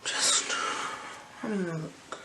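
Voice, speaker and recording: A person with a slight cold role-playing an eye examiner in a gentle, unhurried ASMR style, half whispering and murmuring little hums between short phrases, close to the microphone. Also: a little hiss or hum, low-quality recording. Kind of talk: ASMR roleplay, soft-spoken and whispered.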